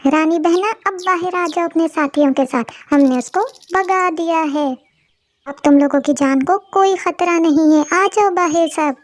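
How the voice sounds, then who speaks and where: A woman's voice: extremely high pitch, moderate pace, no echo. A woman voicing a bold, protective female crow in a moral cartoon, with an earnest, emphatic manner. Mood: reassuring.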